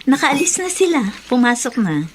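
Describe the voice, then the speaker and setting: Fairly high-voiced, chatty Filipino wife. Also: female voice, very animated, moderate pace, a little hiss or hum. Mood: casual. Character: homely wife, caring and chatty, sympathetic. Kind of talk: radio drama acting.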